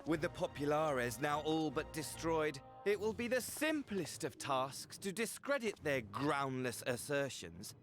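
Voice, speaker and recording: Flamboyant, wildly swooping villain. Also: male voice, a little high, big pitch swings, a bit bright, soft background music. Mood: smug gloating. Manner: florid, grandiose, drawn-out phrasing. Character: flamboyant gloating male villain, theatrical, courtly and mocking. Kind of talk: video game cutscene acting.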